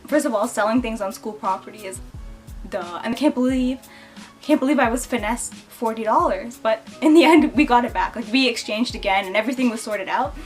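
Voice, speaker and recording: A young woman telling childhood stories and facts about herself to camera in a chatty, giggly way, putting on playful voices when she quotes people. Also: on the high side. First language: English. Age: young adult. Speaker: beauty and lifestyle vlogger. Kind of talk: casual storytelling to camera.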